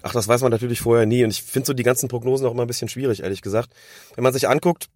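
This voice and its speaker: A man, hoarse from a cold, giving long analytical explanations as a podcast co-host. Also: mid-range voice, fairly even intonation, racing, darkish tone, no room sound, studio quality. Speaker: podcast co-host discussing refereeing. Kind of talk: casual podcast chat.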